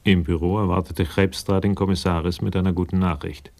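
A man's voice: low voice, flat delivery, a little fast, gravelly, darkish tone. A man narrating a scripted 1980s German radio drama in a sober, literary storytelling manner.

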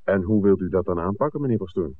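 Earnest, low-voiced investigator, tinny recording. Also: male voice, lively intonation, fast, slight background noise. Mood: earnest, factual. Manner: polite, articulate, insistent. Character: earnest, persistent male visitor investigating village secrets. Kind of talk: 1960s Dutch radio drama acting. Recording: thin, phone-like old radio recording.

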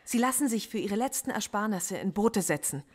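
A woman's voice: medium pitch, lively intonation, breathy edge. Defiant, cerebral female professor. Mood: bitter, lecturing. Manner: measured, argumentative, coolly articulate. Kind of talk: German radio play acting.

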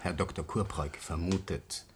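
An actor playing a brisk, matter-of-fact hospital doctor in a radio play, blunt and impatient in manner. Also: male voice, sits low, fairly even intonation, natural voice. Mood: impatient, businesslike. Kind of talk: German radio play acting.

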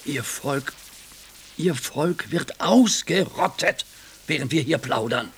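An actor in a radio play voicing an educated, pious but doubting gentleman with a husky voice and an earnest, questioning manner. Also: a little high, expressive, slow pace, slight background noise, exaggerated voice. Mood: despairing, urgent.

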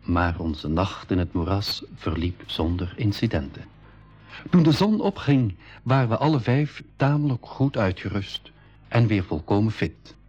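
An actor narrating an adventurer's tale to young listeners in a measured, scripted way on an old radio recording. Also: male voice, fairly low pitch, big pitch swings, a little mellow, breathy edge, full character voice. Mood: measured storytelling. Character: adventurer recounting his expedition to young listeners. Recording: dull, muffled 1980s radio recording.

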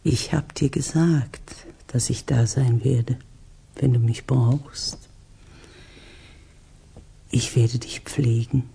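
A man playing a devoted, tender caregiver in a 1960s German radio drama, hushed, breathy and creaky. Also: medium pitch, steady tone, exaggerated voice. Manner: hushed, very slow. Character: devoted, tender caregiver promising to look after someone.

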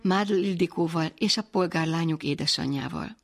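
A woman reading scripted radio introductions in a clipped, announcing manner. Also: on the low side. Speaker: program narrator. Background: music.

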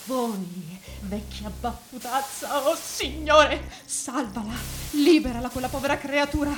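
A woman's voice: high voice, measured pace, a little hiss or hum. A woman playing a defiant young male priest, declaiming with sardonic scorn in experimental radio theatre. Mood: accusing, pleading.

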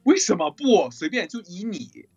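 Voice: medium pitch, sing-song, moderate pace, darkish tone, slightly rough, highs cut off, faint music behind. A host of a cast livestream chatting casually and teasing in a playful way. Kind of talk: casual hosting chat with teasing.

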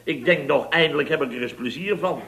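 A man's voice: fairly high pitch, a little fast, fairly bright tone. A man acting a blustering, indignant builder boss who tells tall stories theatrically in an old Dutch radio comedy. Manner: theatrical, exclamatory storytelling with repeated tag words.

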